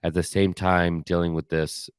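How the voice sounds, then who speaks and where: Man, deep voice, raspy and a bit hoarse, halting casual talk, dry close sound. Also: little pitch movement, no room sound. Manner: halting, many fillers and restarts. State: slightly hoarse from cheering. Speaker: podcast host. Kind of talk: solo casual year-in-review talk.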